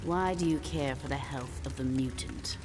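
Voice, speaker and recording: An actress voicing a haughty, seductive villainous enchantress in a video game, with a measured, theatrical delivery. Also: female voice, rumbling low, long reverb tail, background noise.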